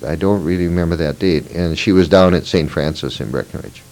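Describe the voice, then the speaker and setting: A man's voice: low voice, fast, a little mellow. A man in his fifties from Minnesota, recalling his past in an oral history interview, meandering with fillers and self-corrections. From Moorhead, Minnesota. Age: 50s.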